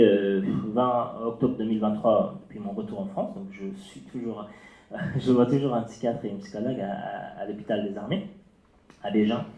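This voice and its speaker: Halting Afghan-born man in an echoey hall. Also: lowish voice. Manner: halting, many fillers and restarts, non-native French phrasing. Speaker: journalist speaking on a panel. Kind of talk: personal testimony at a round table. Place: library auditorium. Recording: echoey hall, dull lo-fi sound.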